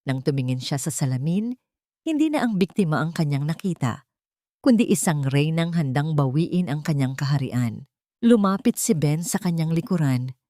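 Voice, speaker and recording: Woman narrating a dramatic story, lowish voice. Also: lively intonation, moderate pace, dark tone, no echo, studio quality.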